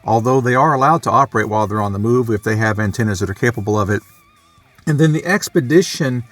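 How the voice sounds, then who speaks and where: A man with a medium-pitched voice talks through a hobby explainer in a casual, unscripted way. He sounds conversational, working out his explanation as he speaks. The recording is close and dry, with faint music in it. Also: a bit bright, no room sound. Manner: conversational, thinking aloud, loosely explaining. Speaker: amateur radio hobbyist and video presenter.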